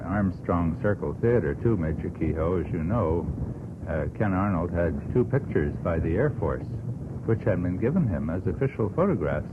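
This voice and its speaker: A man with a deep voice talks on a radio panel. He phrases things deliberately, piece by piece. The vintage recording is thin, with plainly audible noise. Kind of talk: unscripted radio panel discussion. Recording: tinny, noisy vintage radio broadcast.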